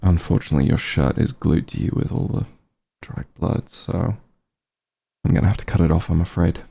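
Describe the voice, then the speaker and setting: A man playing a calm, caring back-room doctor who gently scolds an old friend in a softly spoken ASMR roleplay, soothing with dry bluntness. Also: heavily acted. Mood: focused, gentle. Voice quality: low, gravelly, husky. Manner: soothing, unhurried bedside reassurance with dry bluntness.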